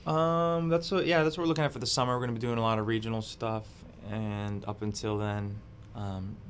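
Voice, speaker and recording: A man, a musician guest on a radio show, chatting in a relaxed, offhand way about his gigs. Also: fairly low pitch, fairly quick, a little hiss or hum. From Massachusetts, United States. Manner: relaxed, laid-back, offhand. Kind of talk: casual interview chat.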